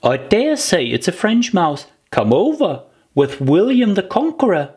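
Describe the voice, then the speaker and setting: A woman's voice: fairly low pitch, big pitch swings, unhurried, darkish tone, voice pushed up. A woman voicing a polite, curious young girl in a children's audiobook, earnest and chatty in manner. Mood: puzzled.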